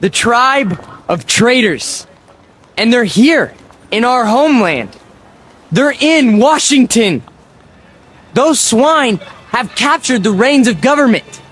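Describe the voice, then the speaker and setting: An actor playing a young man ranting on a street corner, stammering nervously and then preaching with slow, heavy emphasis. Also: very high voice, sing-song, fairly bright tone, slight background noise, heavily acted. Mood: fervent. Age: young adult. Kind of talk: radio drama acting.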